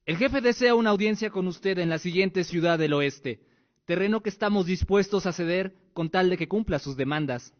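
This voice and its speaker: A man's voice: high-pitched, normal speed, close to an everyday voice. A man playing a deferential envoy of a barbarian nation in a radio drama, formal and ceremonious. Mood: formal, measured. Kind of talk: Spanish-language radio drama acting. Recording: dull lo-fi audio.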